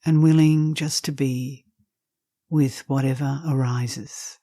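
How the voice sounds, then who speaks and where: A woman leading a guided meditation, speaking gently and softly in unhurried phrases with long pauses, with some breathiness. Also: very deep voice, steady tone, no echo, studio quality. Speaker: meditation teacher.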